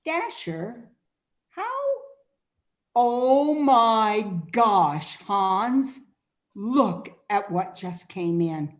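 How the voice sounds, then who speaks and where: A woman's voice: on the high side, lively intonation, extremely slow. A woman reading a curious, earnest woman traveler in a radio play. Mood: alarmed.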